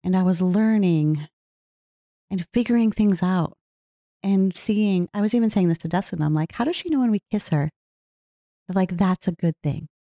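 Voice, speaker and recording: A woman speaking in an earnest, heartfelt way, reflecting slowly on her own life. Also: on the low side, warm tone, dry recording, studio quality. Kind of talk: reflective personal talk.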